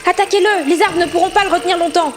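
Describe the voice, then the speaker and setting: A man voicing a polite, gentle young female nymph with a thin feminine voice in an amateur audio drama. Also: very high-pitched, fast talker. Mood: urgent. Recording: faint music behind the voice.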